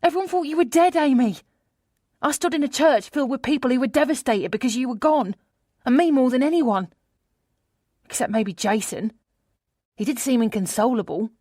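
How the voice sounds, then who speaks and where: A woman's voice: high voice, normal speed, pauses often, a little mellow, no echo, studio quality, pitched above the performer's own voice. A woman voicing a distraught, incredulous female friend who speaks bluntly and emotionally in an audiobook. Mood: hurt, resentful.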